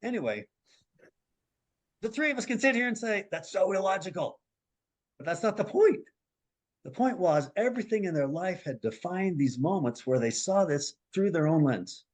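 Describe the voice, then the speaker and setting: Animated male therapist telling a story. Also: normal speed. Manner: animated storyteller, acts out quoted voices with big pitch jumps. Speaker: marriage and family therapist. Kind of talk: storytelling a counseling case.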